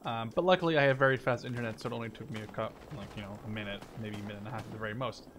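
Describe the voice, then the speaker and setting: A man's voice: on the low side, fairly even intonation, very fast, a bit bright, slight background noise. A man giving casual, rambling gameplay commentary, informal and given to mild swearing. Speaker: gamer and video host.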